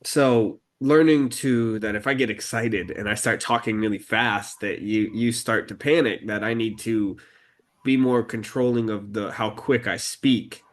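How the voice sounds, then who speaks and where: A man with a fairly low voice talks through a home podcast conversation. He deliberately slows his speech, pausing on fillers and restarting sentences as he picks his words. His tone stays relaxed and conversational. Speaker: podcast co-host. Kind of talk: casual conversational podcast talk.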